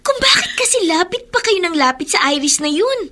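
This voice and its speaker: A woman's voice: very high-pitched, sing-song, fairly quick, bright, forceful tone, exaggerated voice. A woman playing a hurt, resentful young daughter in an indignant, melodramatic radio drama style.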